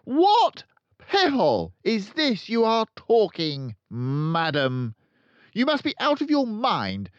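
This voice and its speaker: A man's voice: sits high, huge pitch swings, slow pace, clear, resonant tone, no room sound, lo-fi sound, pitched above the performer's own voice, heavily acted. A man voicing a tyrannical, bullying headmistress who barks and bellows in fury, in a children's storybook reading. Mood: outraged. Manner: barking, bellowing, snorting contempt. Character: tyrannical, furious, bullying headmistress, a huge middle-aged woman. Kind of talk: children's audiobook character voice.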